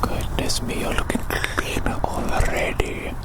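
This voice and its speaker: A British ASMR host whispering a roleplay slowly and repetitively, doting on the listener, outdoors in a field.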